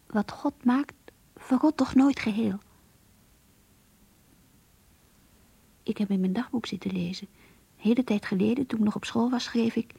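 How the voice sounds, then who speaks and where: An actress playing a lonely, melancholy young working woman in a radio play, confiding her thoughts in a hushed, introspective way. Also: fairly high pitch, gravelly, husky, dry recording, full character voice. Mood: pensive, wistful. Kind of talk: Dutch radio play monologue.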